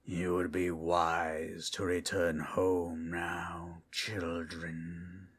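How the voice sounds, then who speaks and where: Man voicing an ancient undead sorcerer, very deep and slow. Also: completely flat delivery, a bit bright, breathy edge, full character voice. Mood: measured, imperious. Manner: slow, deliberate, formal. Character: ancient undead collector, calm, stubborn, menacing male sorcerer. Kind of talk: audio roleplay drama.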